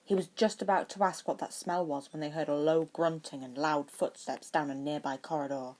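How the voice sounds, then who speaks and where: A woman's voice: low-pitched, fairly even intonation, normal speed, darkish tone. A woman narrating a scripted story as an amateur audiobook reader.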